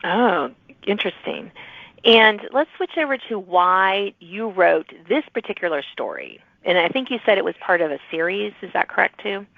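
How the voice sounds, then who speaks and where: A woman's voice: medium pitch, expressive, moderate pace, gravelly, dry recording. A woman hosting a podcast, interviewing guests in a conversational way. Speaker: podcast host interviewing authors. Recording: thin phone-like line.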